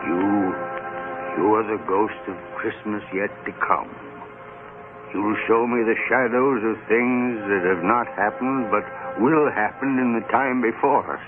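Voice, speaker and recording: An actor playing a frightened, remorseful old miser, pleading haltingly in vintage radio drama style. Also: male voice, fairly low pitch, lightly acted. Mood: fearful, resolute. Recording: old narrow-band radio recording, loud music behind.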